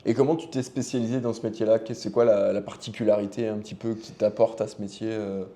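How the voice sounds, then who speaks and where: A man's voice: on the low side, steady tone, fast, warm tone. A man hosting a podcast interview in a casual, conversational style, feeling his way through informal, hesitant phrasing. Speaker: podcast host.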